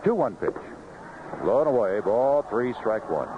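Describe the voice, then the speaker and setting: A man calling baseball play-by-play on live radio in clipped phrases, pausing between pitches. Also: big pitch swings. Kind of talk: live radio sports commentary. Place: outdoor ballpark broadcast booth. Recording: noisy, muffled vintage 1970s radio broadcast.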